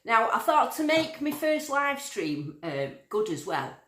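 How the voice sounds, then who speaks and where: A woman's voice: on the high side, sing-song, measured pace, fairly bright tone. Woman, Lancashire accent with a Yorkshire tinge, chatty, rambling, echoey room. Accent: Lancashire, Yorkshire-sounding. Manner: chatty, rambling, reads out viewer comments. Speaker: metal detecting livestream host. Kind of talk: casual livestream chat.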